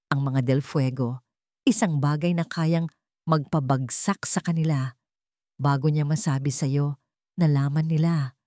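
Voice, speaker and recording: A woman's voice: low-pitched, darkish tone, no echo, clean studio sound. A woman voicing an anxious, secretive older mother and wealthy matriarch in a narrated story, urgent and pleading.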